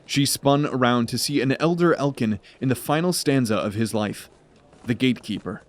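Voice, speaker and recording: Guy narrating a fantasy audio drama, mid-pitched voice, measured and dramatic storytelling.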